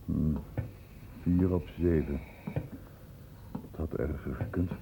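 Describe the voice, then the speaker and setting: A man's voice: very deep voice, fairly even intonation, slow pace, gravelly, background noise, full character voice. A man playing a concerned, insistent doctor, stern but caring, in a Dutch radio drama. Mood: grave, subdued. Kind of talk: Dutch radio play acting. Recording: muffled, phone-like old radio recording.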